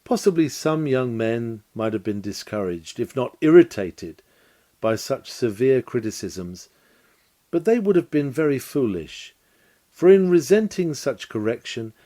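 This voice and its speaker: A man with a soft, mellow voice reads an old-fashioned sermon lecture from a script. His pitch moves widely as he stresses key words, and his phrasing is measured, formal and oratorical. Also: big pitch swings. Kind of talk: scripted reading of a 19th-century sermon lecture.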